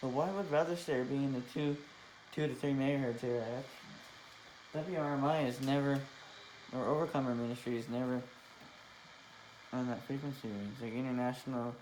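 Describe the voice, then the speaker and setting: Male hobbyist, off-the-cuff and puzzled, muttering through a demonstration in a room with clear radio noise. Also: medium pitch, fairly even intonation, fairly quick, long pauses, a little mellow, low-quality recording. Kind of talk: casual, unscripted demonstration commentary. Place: small indoor room at a workbench.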